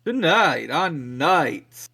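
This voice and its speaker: A man reading a smooth male TV announcer in a live table read, with drawn-out broadcast delivery. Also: a little high, sing-song, pushed and bright, heavily acted. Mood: announcing.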